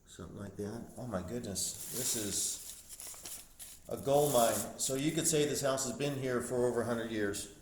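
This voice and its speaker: A man's voice: medium pitch, normal speed. A man giving a casual, off-the-cuff walk-through as an explorer vlogger, pointing things out to viewers with open amazement. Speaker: urban explorer vlogger, host. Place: inside empty rooms of an abandoned house. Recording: roomy echo, light background noise.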